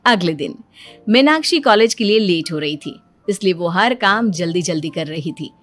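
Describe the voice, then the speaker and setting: A woman giving scripted narration for a Hindi cartoon story in an even storytelling delivery. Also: lowish voice, normal speed, faint music behind.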